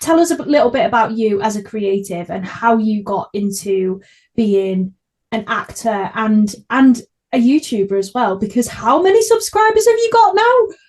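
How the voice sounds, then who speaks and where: A woman's voice: a little high, expressive, normal speed, darkish tone. A young woman hosting a casual podcast interview in a chatty, supportive way. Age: young adult. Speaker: podcast host, theatre creative.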